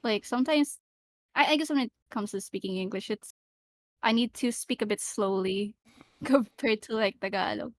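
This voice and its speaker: A woman's voice: mid-range voice, normal speed, no echo. A young woman with a light Filipino accent chats casually as a podcast co-host. She talks loosely, full of "like" and "um". Her voice is recorded close on a dry microphone with no room sound. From Philippines. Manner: chatty, many fillers. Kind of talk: casual chat.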